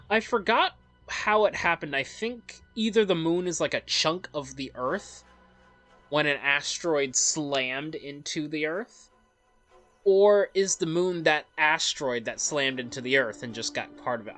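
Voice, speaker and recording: Slow-paced game narrator over music. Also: medium pitch, lively intonation, a bit bright. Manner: measured dramatic reading broken by offhand, rambling asides. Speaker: let's play host. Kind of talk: reading game story aloud with casual commentary. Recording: music under the voice.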